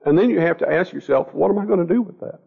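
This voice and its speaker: A man's voice: high voice, lively intonation, quick pace. A man teaching an informal Bible study class, a little scratchy and stuffed up, talking plainly and often repeating words as he questions the group. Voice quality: gravelly. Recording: dull lo-fi recording.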